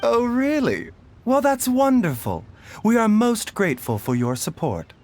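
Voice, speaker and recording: A man voicing a gentle, courteous doctor who speaks in a soft, formal way in a video game. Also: high-pitched, huge pitch swings, a little slow, exaggerated voice.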